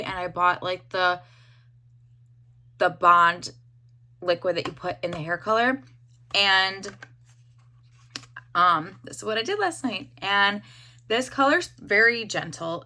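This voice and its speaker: A young woman with a hoarse voice, casually reviewing a hair dye and rambling with lots of fillers. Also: mid-range voice, sharp tone. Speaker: beauty vlogger.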